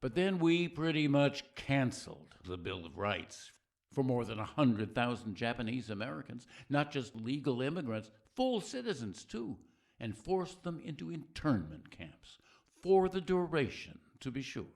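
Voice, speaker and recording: An earnest male narrator acted by a man leads a scripted civic audio play. His mid-pitched voice moves expressively, and his delivery is measured and rhetorical. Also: sing-song, gravelly. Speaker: narrator leading a group discussion.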